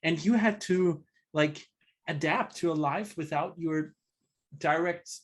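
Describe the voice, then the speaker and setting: A man hosting an online interview, asking questions in a halting way with fillers and restarts. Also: fairly high pitch. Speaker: host. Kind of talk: interview host.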